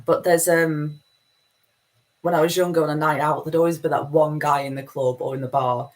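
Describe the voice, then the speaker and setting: A woman's voice: sits low, level tone, normal speed. A woman with a Manchester accent giving a meandering, self-deprecating spiritual talk as host of a live session. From Manchester, England.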